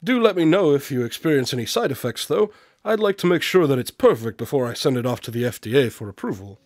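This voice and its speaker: An actor playing an eccentric, condescending detective-inventor in a radio drama, speaking in formal, precise, calmly pompous diction. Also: male voice, medium pitch, fast, no room sound, studio quality, lightly acted. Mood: dry, breezy.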